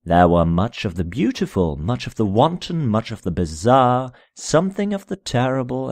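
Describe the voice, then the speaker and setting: A man reading a gothic horror story aloud in a measured, solemn, theatrical manner, at times dropping to a hush. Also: lowish voice, no room sound. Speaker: amateur reader of a short story. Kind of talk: dramatic scripted narration of a gothic horror tale.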